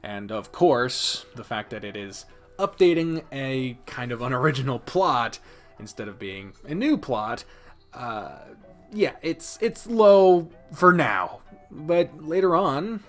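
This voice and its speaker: A man's voice: mid-range voice, sing-song, slow, soft background music. A man speaking as an irreverent, exclamatory reviewer in a casual commentary style, swearing freely. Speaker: video game reviewer and host of a ranking video.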